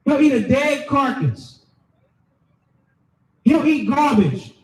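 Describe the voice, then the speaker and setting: A man preaching loudly and emphatically, calling for scripture readings and explaining them to a crowd. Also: very high-pitched, very animated, slow, roomy sound, recorded from a distance, low-quality recording. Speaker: street preacher. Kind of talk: shouted sermon with scripture reading.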